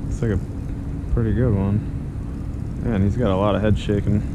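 A man fishing from a small boat, giving excited, chatty commentary into a head-mounted camera. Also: on the low side, moderate pace, lo-fi sound. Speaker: angler filming his trip. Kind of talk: casual running commentary. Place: small fishing boat outdoors on open water. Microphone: head-mounted action camera. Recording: action camera outdoors with boat and wind noise. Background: wind, water and boat noise.

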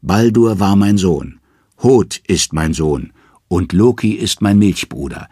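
A man's voice: low voice, animated, slow, warm tone, slightly rough, no echo, studio quality, full character voice. A man voicing an old, weary father of the gods in an audio saga, solemn and commanding.

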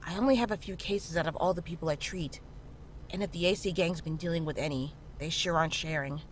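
A woman playing a calm, frank town healer in a horror visual novel, speaking in a measured, reassuring way. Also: low-pitched, close to an everyday voice, poor audio. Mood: serious, confiding. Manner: measured, matter-of-fact, reassuring. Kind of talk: visual novel character dialogue. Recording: clearly audible background noise, ambience.

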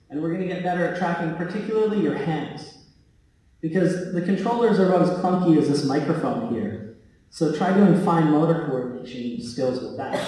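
A man giving an informal conference talk from a theatre stage, casual and a little halting in delivery. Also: a little high, mellow. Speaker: tech company co-founder giving a talk. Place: large theatre stage, indoors. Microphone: handheld microphone, recorded by a far camera. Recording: very echoey hall, heard from far off.

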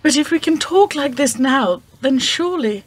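A woman's voice: high-pitched, lively intonation, moderate pace. A woman playing a genteel, anxious upper-class 1920s wife in a radio play, formal and pleading. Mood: wistful.

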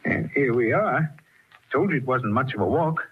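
Husky, scheming older villain. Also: male voice, medium pitch, animated, normal speed. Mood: suave, coaxing. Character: suave, scheming older male swindler-turned-kidnapper. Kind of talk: old-time radio drama acting. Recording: muffled old radio recording.

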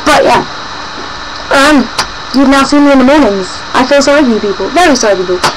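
An eighteen-year-old woman with a croaky morning voice, chatting restlessly and half asleep into a webcam in her small bedroom. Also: expressive, quick pace, background noise. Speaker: vlogger. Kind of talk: casual morning webcam chat. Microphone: built-in webcam mic. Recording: low-quality webcam audio with loud music behind. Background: loud music playing.

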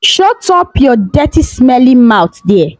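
A woman's voice: medium pitch, animated, normal speed, darkish tone, dry recording, faint music behind, natural voice. A woman voicing a stern royal queen who speaks in a commanding, contemptuous way in a storybook tale. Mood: scornful.

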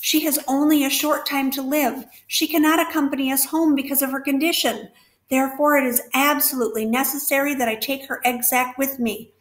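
A woman voicing a frantic young male pig who pleads loudly, heard with a roomy echo. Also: high voice, slow, few pauses, voice pushed up. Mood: desperate. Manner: desperate, loud pleading.

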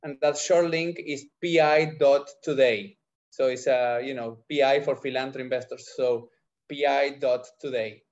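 A middle-aged man with an Argentine accent and Spanish as his first language, answering interview questions haltingly as he searches for words. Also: medium pitch, steady tone. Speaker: entrepreneur, podcast guest. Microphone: video call microphone. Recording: dull, lo-fi video call.

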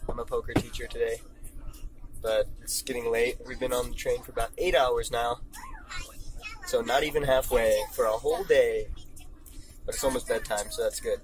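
A young man chatting casually as a travel vlogger, joking and relaxed, filmed on a handheld camera. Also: mid-range voice, moderate pace, long pauses, soft background music, poor audio. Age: young adult. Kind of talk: casual vlog commentary. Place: sleeper train cabin and small kitchen.

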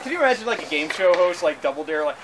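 A man's voice: sits high, sing-song, rapid-fire. A man in his twenties improvising comedy and chatting casually outdoors, physically active as he performs. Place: outdoors on a park lawn. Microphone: camera a few meters away. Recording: noisy outdoor camera audio.

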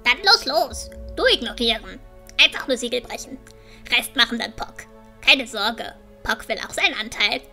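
A woman voicing an excitable, cheeky and greedy little dragon who chatters in broken, childlike grammar. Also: fairly high pitch, normal speed, creaky, soft background music, full character voice. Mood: eager, greedy.

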